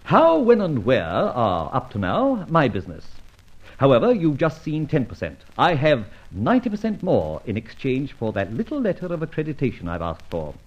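An actor playing a roguish, self-assured gentleman adventurer in a vintage radio drama, with a breezy, bantering, theatrical manner. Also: mid-range voice, wildly swooping pitch, normal speed. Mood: persuasive. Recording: dull, muffled old radio recording.

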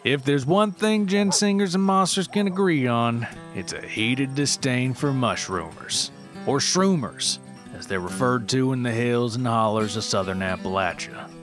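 A man narrates a scripted story in documentary style, with a medium-pitched voice. His delivery is measured and deadpan, and plucked guitar music plays behind him. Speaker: narrator, writer reading own story.

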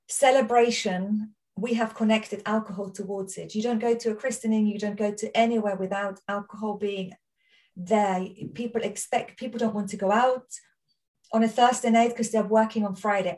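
A woman's voice: medium pitch, darkish tone, recorded from a distance, low-quality recording. Austrian woman telling her story. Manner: earnest, storytelling. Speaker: podcast guest. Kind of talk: casual interview chat.

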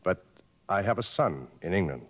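World-weary safari guide, wide-swinging delivery. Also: male voice, low voice, big pitch swings, a bit bright, full character voice. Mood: grave, frank. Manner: frank, measured, dry. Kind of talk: 1950s radio drama acting. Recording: muffled old radio broadcast, long reverb.

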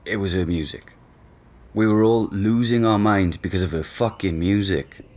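An actor playing an easygoing young boyfriend being interviewed at home, casual and hesitant in a naturalistic audio drama. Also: male voice, sits low, moderate pace, darkish tone, close to an everyday voice. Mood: candid, puzzled.

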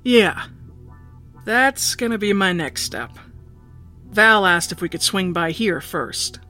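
A woman's voice: medium pitch, expressive, slow pace, sharp tone, faint music behind. An actress in an audio drama playing a blunt, plain-spoken, tough woman who drills tunnels for a rebellion. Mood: wry.

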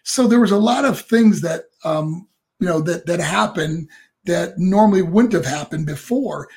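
A middle-aged man with a raspy voice, a guest and former wrestler rambling through stories with lots of fillers. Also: high-pitched, animated, normal speed, darkish tone. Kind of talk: casual interview storytelling.

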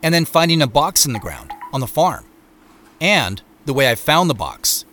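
A man's voice: fairly high pitch, sing-song, normal speed, pushed and bright, soft background music. An actor playing an earnest man recounting his investigation in a first-person audio drama monologue. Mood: reflective.

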